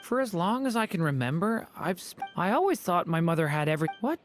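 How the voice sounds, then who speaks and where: Woman acting a low-voiced, earnest young boy. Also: expressive, brisk, lightly acted. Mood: earnest, unsure. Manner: hesitant, earnest. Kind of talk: dramatic game voice acting. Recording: clear game music behind.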